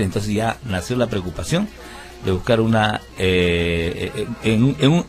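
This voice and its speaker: A low-voiced man with a Peruvian Spanish accent, speaking as a musician guest on a radio interview. He talks earnestly and at length, full of hesitations and restarts. Faint music plays behind him. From Peru. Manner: earnest, many fillers and restarts. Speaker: musician, band founder.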